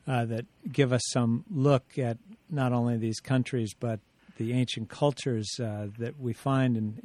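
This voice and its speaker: A man hosting an interview, speaking in a relaxed, agreeable way. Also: mid-range voice, no echo. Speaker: radio host.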